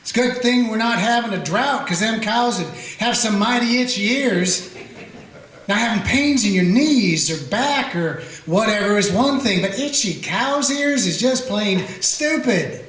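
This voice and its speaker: A man's voice: sits high, expressive, unhurried, fairly bright tone. A man playing a folksy small-town frontier sheriff who narrates in a drawling, plainspoken way. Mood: scoffing. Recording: roomy, echoey room.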